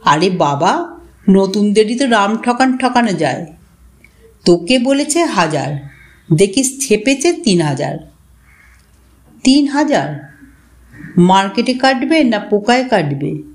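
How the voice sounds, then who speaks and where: A woman's voice: medium pitch, sing-song, slow pace, pauses often, a little mellow. A woman voicing a scornful, nosy male schoolteacher in a storybook, needling his friend with sceptical questions. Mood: mocking. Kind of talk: storybook dialogue.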